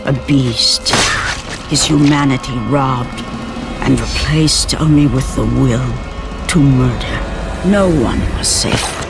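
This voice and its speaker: Slow, grave, portentous epic narrator. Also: female voice, a bass voice, vocal fry, full character voice. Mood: grave storytelling. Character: grave, solemn narrator of an epic Greek tragedy. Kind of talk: dramatic scripted video game narration. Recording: music behind the voice.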